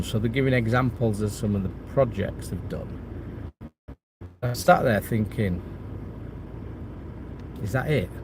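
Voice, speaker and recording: A man's voice: medium pitch, slight background noise. A middle-aged man with a cold, chatting casually on a livestream, rambling with lots of restarts and fillers. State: has a cold and chest infection. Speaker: property channel host. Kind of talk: casual livestream chat reading viewer comments.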